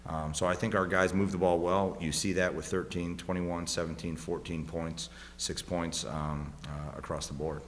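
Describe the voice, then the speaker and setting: A man's voice: low-pitched, flat delivery, moderate pace. A man in his thirties, a head coach, answering postgame questions at a press conference, emphatic and rising on his key points, into a table mic. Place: press room, seated at a table. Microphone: table microphone on a stand. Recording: reverberant room with faint hum.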